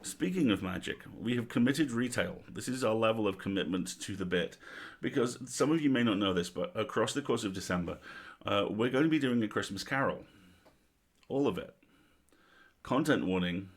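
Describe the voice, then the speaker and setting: Livestream host: lowish male voice, informal, wry, given to playful jokes. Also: expressive, normal speed. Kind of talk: casual livestream chat.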